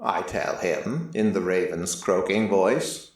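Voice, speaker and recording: Male narrator, dramatic fiction, deliberate theatrical delivery, widely varied intonation, heavy room echo. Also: deep voice, expressive. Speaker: story narrator. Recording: very echoey, distant from mic.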